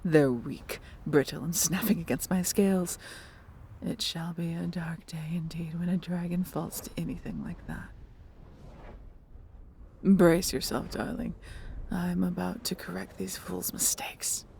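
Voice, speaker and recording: A woman playing a proud, tender female dragon in a fantasy audio roleplay, with a breathy, theatrical, measured delivery. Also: lowish voice, steady tone, dark tone, a little hiss or hum. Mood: alarmed, defiant. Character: proud, doting female dragon, adventurous and protective.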